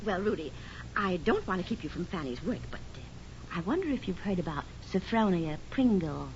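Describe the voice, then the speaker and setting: A woman's voice: expressive, moderate pace, a little mellow, slight background noise. An actress playing a gossipy, teasing middle-aged neighbor woman in a 1940s radio comedy, theatrical and giggly in her exclaiming. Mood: gleeful gossiping. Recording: muffled, rumbling 1940s radio recording.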